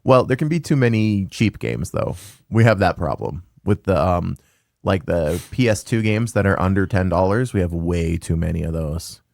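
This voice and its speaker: Laid-back male host, slangy chat; lowish voice, dry close sound. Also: animated, normal speed, gravelly, a little mellow, dry recording. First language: English. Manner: laid-back, says dude and man a lot. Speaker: podcast host, game store owner. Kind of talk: casual interview chat.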